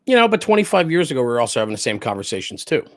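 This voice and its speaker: A man's voice: medium pitch, big pitch swings, rapid-fire, no room sound. Emphatic American man, close dry sound. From New Jersey, United States. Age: middle-aged. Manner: emphatic, repeats points, checks with "right?". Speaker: interview guest, fire service spokesperson and former chief. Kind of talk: casual but opinionated interview talk.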